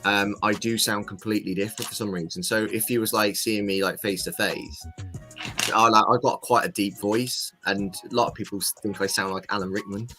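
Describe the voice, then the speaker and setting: A man's voice: fairly low pitch, steady tone, a little fast, music in the background. A man co-hosting a livestream chat, joking and self-deprecating. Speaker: co-host.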